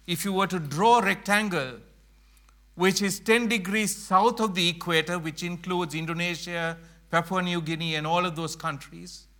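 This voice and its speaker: A middle-aged man from Sri Lanka giving an earnest church presentation, slowing down to stress key phrases. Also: high voice, pushed and bright. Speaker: mission speaker.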